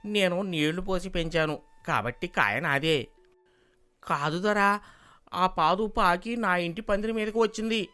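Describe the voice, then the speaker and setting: A man playing a quarrelsome village farmer pleading his claim in an animated storybook tale. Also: high voice, unhurried, clear, resonant tone. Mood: insistent.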